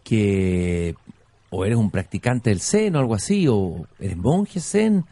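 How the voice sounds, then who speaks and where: Expressive Chilean man hosting, swooping intonation. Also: on the low side, takes it slowly. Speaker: interview show host. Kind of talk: reflective spoken introduction and conversational interviewing.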